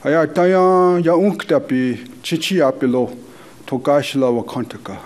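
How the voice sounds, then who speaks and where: Solemn man leading a slow prayer. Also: on the high side, a little mellow. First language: Dakota. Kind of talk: spoken prayer. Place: conference hall.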